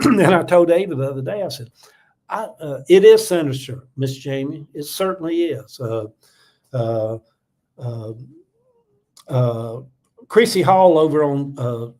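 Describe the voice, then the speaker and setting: A man's voice: on the high side, expressive, a little slow, pauses often, warm tone. Earnest seventy-year-old man. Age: 70s. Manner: earnest, repetitive, warning tone, many fillers. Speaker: livestream host reading comments. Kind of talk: casual political livestream talk.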